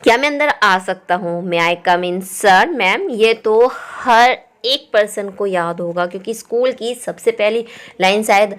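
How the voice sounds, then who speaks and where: A woman reading out example sentences for learners, repeating each English line slowly and deliberately. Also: medium pitch. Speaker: spoken English teacher.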